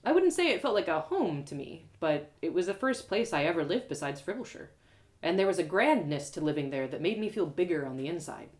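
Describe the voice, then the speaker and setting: A woman reading a first-person fantasy story aloud as a live storyteller, expressive and with dramatic pauses. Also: lowish voice.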